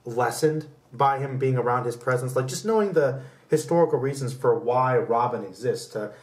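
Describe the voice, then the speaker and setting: A man's voice: medium pitch, normal speed, darkish tone. A man co-hosting a casual pop culture podcast, rambling and opinionated as he chats. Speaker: podcast host. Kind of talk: casual conversational debate about pop culture. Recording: roomy echo, distant from mic.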